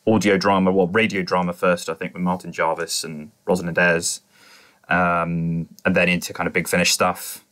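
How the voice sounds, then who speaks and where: A man with a low voice speaks as a podcast panellist. His delivery is casual and tentative, feeling his way through each point with frequent qualifiers. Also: level tone, normal speed, fairly bright tone. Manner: tentative, hedging with kind of and sort of. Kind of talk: casual discussion.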